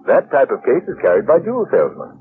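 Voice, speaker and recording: A muffled, noisy vintage radio recording presents a wealthy young man who dabbles in detection. He is smooth and self-satisfied, teasing with lively swings of intonation in classic radio drama style. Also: mid-range voice, fast. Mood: playful, smug. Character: urbane, playful young wealthy man, amateur sleuth. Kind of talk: 1940s radio drama acting.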